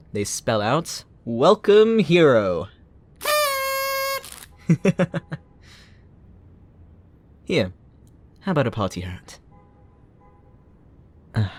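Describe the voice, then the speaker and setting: Supervillain for roleplay audio drama, male actor: low voice, unhurried delivery, theatrical, sarcastic, toying playfully with a captured hero. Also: darkish tone, exaggerated voice. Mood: playful taunting. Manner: mocking, playful, taunting, chuckling.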